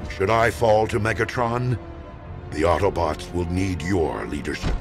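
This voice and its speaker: Casting: noble robot commander, game hero. Very deep, resolute, solemn authority over loud score. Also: male voice, measured pace, over music. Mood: solemn resolve. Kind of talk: video game cutscene voice acting.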